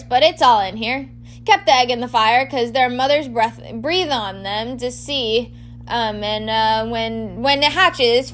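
A woman's voice: sits high, fairly bright tone, a little hiss or hum, voice pushed up. A woman voicing a big bearded groundskeeper man in a storybook, proud and colloquial, blurting out secrets.

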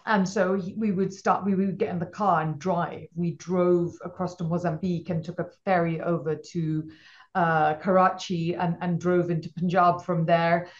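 A woman's voice: on the low side, moderate pace, muffled recording. A middle-aged woman from Malawi, a guest entrepreneur, telling stories in casual interview chat with many restarts. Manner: rambling, frequent restarts, animated storytelling.

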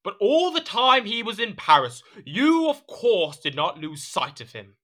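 A man's voice: high voice, big pitch swings, a little slow, pushed and bright, heavily acted. An actor playing a petulant, jealous French king in a period audio drama, speaking in an imperious, indignant, theatrical manner.

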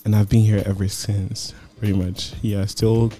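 A man's voice: lowish voice, flat delivery, normal speed, mellow, soft background music. A man of twenty-one, a podcast guest talking in a modest, somewhat shy way. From Nigeria. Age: 20s. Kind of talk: casual chat.

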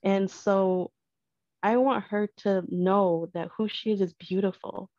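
A woman talking in an earnest, reflective and measured way in a casual conversation, as a guest parent. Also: mid-range voice, steady tone, a little mellow, dry recording. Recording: dull dry lo-fi sound.

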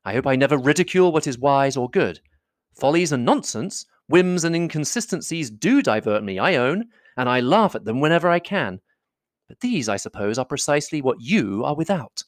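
A man's voice: mid-range voice, sing-song, moderate pace, dry recording, studio quality, pitched above the performer's own voice, lightly acted. A man voicing a witty, spirited young woman in a playful, teasing manner.

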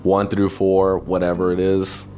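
A man's voice: low-pitched, completely flat delivery, unhurried, warm tone, slight background noise. A man talking alone in a rambling, reflective way, wistful and full of "you know", with faint music behind him. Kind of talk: rambling personal monologue.

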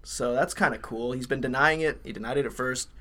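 A man's voice: medium pitch, a little fast, fairly bright tone. Laid-back young male podcast co-host. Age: young adult. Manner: laid-back, jokey, rambling with fillers. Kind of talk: casual chat. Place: college podcast room.

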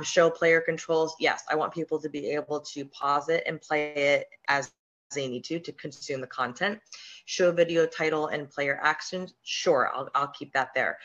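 Hoarse woman chatting through a screen-share. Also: sits low, fairly bright tone, muffled recording. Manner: chatty, with frequent ums and restarts. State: hoarse, slight sore throat. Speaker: podcast host and online business coach. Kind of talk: casual live-stream screen-share walkthrough.

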